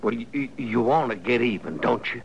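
An actor playing a sly, folksy outlaw prisoner in a radio western, coaxing and menacing in a rural American accent. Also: male voice, mid-range voice, a little fast, creaky, natural voice. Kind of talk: old-time radio western acting. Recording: noisy vintage radio recording.

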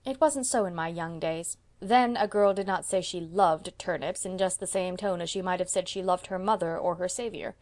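A woman's voice: lowish voice, moderate pace, few pauses, a little mellow, close to an everyday voice. A woman voicing a proud, decisive seventy-year-old spinster who owns a house and speaks with emphasis. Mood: emphatic. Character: brisk, proud elderly spinster landlady, 70.

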